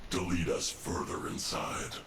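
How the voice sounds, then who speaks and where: An actor playing a sly, menacing game villain with a growling voice and a smug, deliberate, mocking delivery. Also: male voice, sing-song, a bit bright, a little hiss or hum, heavily acted. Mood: smug menace. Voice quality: very deep gravelly growl. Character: menacing sly male villain of a scheming gang. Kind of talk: scripted video game voice acting.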